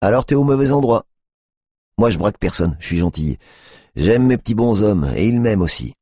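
A man's voice: lowish voice, animated, fairly quick, mellow, no room sound, clean studio sound, pitched above the performer's own voice. A man putting on a jittery, foul-mouthed addicted woman. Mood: mocking. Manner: mocking, aggressive, swearing. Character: agitated drug-addicted female sex worker, foul-mouthed, hostile.

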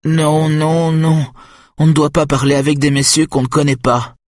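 A man's voice: mid-range voice, steady tone, normal speed, husky, dry recording, voice pushed up, close to an everyday voice. A man voicing a big childlike young man, anxious and innocent, who talks in a simple, eager way close to tears. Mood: frightened.

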